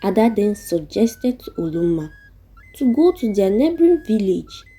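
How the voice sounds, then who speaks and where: A Nigerian woman narrates a folktale with a very dark, soft tone that is husky at the edges. She takes her time, reading deliberately as a storyteller. Music plays clearly behind her voice. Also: mid-range voice, sing-song. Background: background music.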